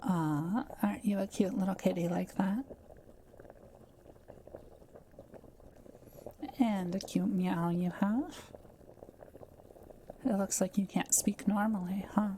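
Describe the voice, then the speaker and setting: A woman playing a kind, gentle witch in an intimate roleplay audio drama, speaking softly and carefully to the listener. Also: mid-range voice, steady tone, measured pace, slight background noise, lightly acted, rough recording. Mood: tender, affectionate. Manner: soft-spoken, reassuring, careful explaining.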